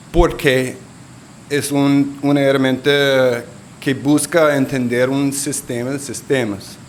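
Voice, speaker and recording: A man with a Colombian Spanish accent presenting at a conference panel, halting and searching for words. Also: mid-range voice, a little hiss or hum.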